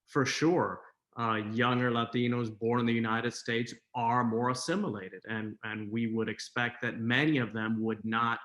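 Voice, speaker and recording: A man in his thirties on a video call interview, explaining points in a measured way with frequent "uh" fillers. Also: mid-range voice, fairly bright tone. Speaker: guest commentator. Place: home room.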